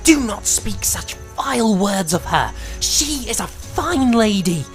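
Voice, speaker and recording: A woman's voice: mid-range voice, very animated, slow pace. A woman playing a proud, lovestruck young prince who speaks in formal, archaic courtly language for an audio drama. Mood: indignant. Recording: music under the voice.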